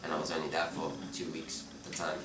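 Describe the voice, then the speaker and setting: A young man from Belgium answering interview questions in a relaxed, hesitant way, often restarting his sentences. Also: very deep voice, quick pace, very noisy. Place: dim bar with red walls, indoors. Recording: roomy, distant sound with loud music behind.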